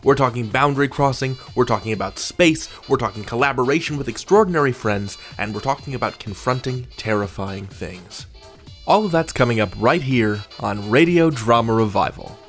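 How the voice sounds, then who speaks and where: Male podcast host and interviewer, very lively pitch swings, playful and emphatic delivery. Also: moderate pace, soft background music. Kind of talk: scripted podcast introduction and interview.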